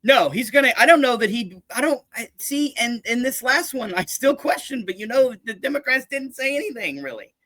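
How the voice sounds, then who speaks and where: Guy with a high bright voice, rambly political chat, dry sound. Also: lively intonation, brisk, hardly any pauses, dry recording. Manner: rambling, restarts often, mock voices for jokes.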